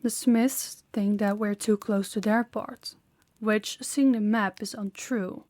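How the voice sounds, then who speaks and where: A woman playing a dominant, stern mafia boss in an audio roleplay, speaking formally and deliberately with authority. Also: medium pitch, fairly even intonation, a little mellow, no echo, close to an everyday voice. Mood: composed, businesslike.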